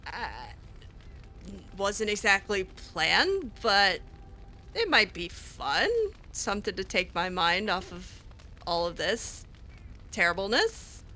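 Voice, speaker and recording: A woman roleplaying aloud as she plays a fantasy game. Her voice is bright and clear-edged, and she talks slowly and hesitantly, often stammering as she improvises her words. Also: mid-range voice, expressive, slight background noise. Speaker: roleplay gamer.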